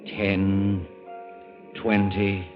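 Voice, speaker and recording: A low-voiced male narrator of an old radio mystery. He is deliberate, somber and dramatic. The muffled 1940s recording carries prominent music behind him. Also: level tone. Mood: somber, ominous.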